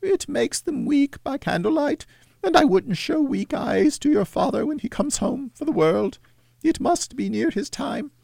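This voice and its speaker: A man voicing a grieving mother who speaks softly and bravely, holding back tears. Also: extremely high pitch, sing-song, measured pace, creaky, no echo, clean studio sound, pitched above the performer's own voice, heavily acted. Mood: gentle. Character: grieving mother, gentle and steady. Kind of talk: audiobook character voice.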